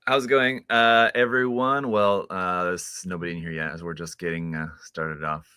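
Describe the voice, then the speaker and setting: A man hosting a casual live stream chat, relaxed and laughing often, with many fillers. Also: sits low, normal speed, smooth, no room sound, clean studio sound.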